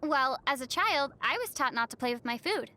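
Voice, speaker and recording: Young traveller heroine, high voice, gentle, thoughtful, measured game dialogue, music behind. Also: female voice, animated. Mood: lightly curious. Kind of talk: video game voice acting.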